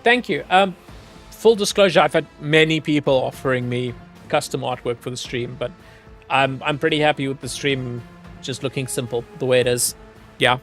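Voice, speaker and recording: A man's voice: medium pitch, normal speed, fairly bright tone, soft background music. A man from Zimbabwe streaming a game, chatting easily with his viewers and laughing often while he plays. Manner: easygoing, chatty, laughs often, talks to chat. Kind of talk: casual gameplay commentary.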